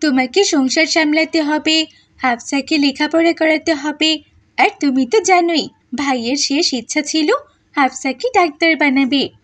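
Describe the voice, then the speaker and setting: Pushy cartoon aunt, played by a woman, high voice, insistent and scheming. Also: unhurried, a bit bright. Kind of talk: Bengali cartoon voice-over.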